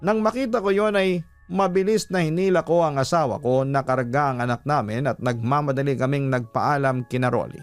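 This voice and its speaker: A man's voice: medium pitch, fairly even intonation, a little fast, smooth. Filipino male storyteller over music. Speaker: host reading a listener's horror letter. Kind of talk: scripted storytelling narration.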